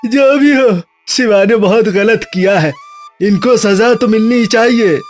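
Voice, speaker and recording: A man's voice: high voice, unhurried, soft background music. A man voicing a judgmental, gossiping villager, scornful and exclamatory, in a cartoon moral story.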